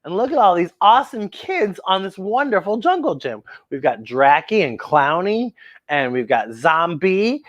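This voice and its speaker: A woman's voice: fairly low pitch, sing-song, normal speed, muffled recording. A woman hosting a children's story time, reading a picture book aloud expressively and talking directly to young listeners with exclamations.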